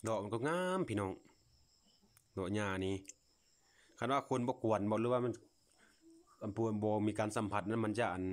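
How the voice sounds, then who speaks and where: A man's voice: on the low side, a little mellow. A man from Laos, talking casually and unscripted to viewers as he films outdoors on a phone, in a folksy, repetitive, marvelling way. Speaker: vlogger. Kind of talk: casual unscripted commentary to viewers while filming. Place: outdoors, at a grassy patch.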